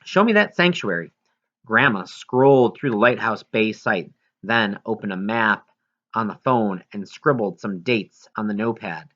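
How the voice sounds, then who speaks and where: A man reading a first-person story aloud in an expressive, earnest way, now and then stumbling. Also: lowish voice, measured pace, highs cut off. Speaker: audiobook reader.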